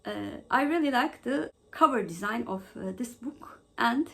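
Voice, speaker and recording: A woman presenting a book vlog as host, going through questions in a halting way with frequent mid-phrase pauses. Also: on the high side, animated. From Turkey.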